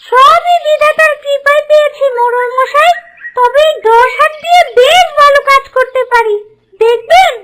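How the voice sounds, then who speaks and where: Squeaky, very high scheming ghost wife acted by a woman. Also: moderate pace, a bit bright, a little hiss or hum. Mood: sly boasting. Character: scheming ten-armed female ghost wife, man-eater. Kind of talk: cartoon voice acting.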